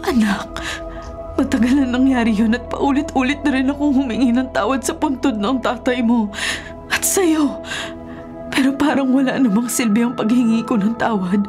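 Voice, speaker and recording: High-voiced, remorseful Filipino mother played by a woman. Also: moderate pace, over music, lightly acted. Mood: pleading, remorseful. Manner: pleading, sorrowful, hesitant. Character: remorseful, pleading mother, humble laundrywoman. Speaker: radio drama actor. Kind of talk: Filipino radio drama acting.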